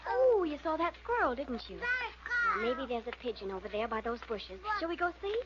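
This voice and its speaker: Casting: guarded, defiant woman in a vintage radio mystery, fairly high voice, muffled noisy sound. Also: sing-song, normal speed. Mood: cooing. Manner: cool, curt. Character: guarded, defiant woman, curtly refusing help. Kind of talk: 1940s radio drama acting. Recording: muffled vintage radio recording, clear background noise.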